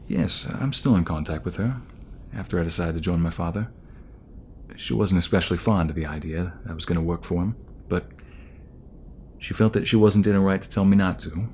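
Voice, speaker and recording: A man acts a young mobster with a romantic, protective streak in an ASMR roleplay. The voice is low and intimate, with hesitant pauses, over faint background noise. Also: darkish tone, natural voice. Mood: reflective, candid. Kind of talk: ASMR audio roleplay acting.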